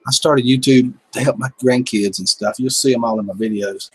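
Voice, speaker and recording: Husky laid-back Southern man in his fifties. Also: mid-range voice, fairly even intonation, fairly quick, low-quality recording. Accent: Southern American. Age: 50s. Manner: laid-back, joking, folksy rural speech. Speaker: livestream host, gardening channel. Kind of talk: casual chat reading viewer comments.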